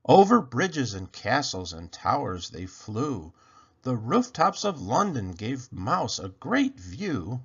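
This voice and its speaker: Slow, swooping male storybook reader. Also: mid-range voice, huge pitch swings, fairly bright tone, slightly rough, lo-fi sound. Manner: theatrical, deliberate phrasing. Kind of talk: expressive rhyming picture-book read-aloud.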